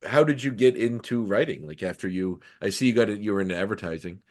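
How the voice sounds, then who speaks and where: A man's voice: fairly low pitch, expressive, quick pace. A man hosting a podcast interview, chatting informally and chiming in with appreciative interjections. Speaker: podcast host. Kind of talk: casual chat interview.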